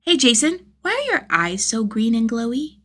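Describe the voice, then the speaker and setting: A woman voicing an excitable, starstruck nine-year-old boy who rambles in an earnest rush for an audio story. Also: fairly high pitch, normal speed, voice pushed up. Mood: matter-of-fact.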